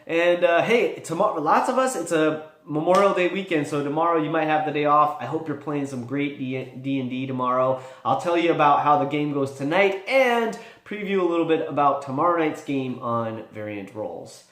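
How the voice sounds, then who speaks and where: A man with a fairly high voice talks in a casual, rambling vlog style. He thinks aloud with animation, and the room around him is noticeably echoey. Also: lively intonation, moderate pace, few pauses, a bit bright. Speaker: tabletop gaming vlogger.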